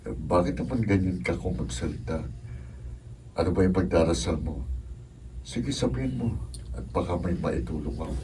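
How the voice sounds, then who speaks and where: A man playing an earnest, gently firm young seminarian in a radio drama, speaking seriously and with measure. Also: sits low, animated, normal speed, long pauses. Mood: gentle concern. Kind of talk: Filipino radio drama acting. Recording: distant mic, noisy room ambience.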